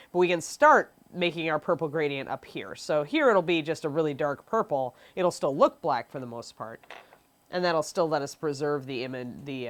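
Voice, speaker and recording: A sniffly young woman, stuffy from allergies, giving a chatty live painting tutorial and answering chat questions. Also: low voice. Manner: chatty, reads chat questions aloud, frequent ums. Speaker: miniature painting instructor.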